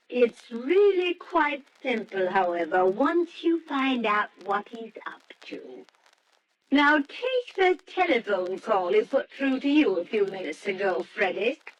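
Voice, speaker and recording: Bossy, swooping high-voiced society wife. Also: female voice, lo-fi sound. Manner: imperious, scolding, theatrically emphatic. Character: bossy, positive, chattering middle-aged wife of a professor. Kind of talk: old-time radio drama acting.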